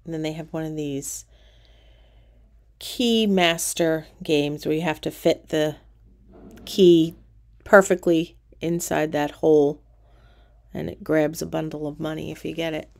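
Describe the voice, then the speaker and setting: A middle-aged woman casually narrating a walking tour of a cruise ship casino, relaxed and pointing things out as she goes. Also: sits low, a little slow, vocal fry, pauses often.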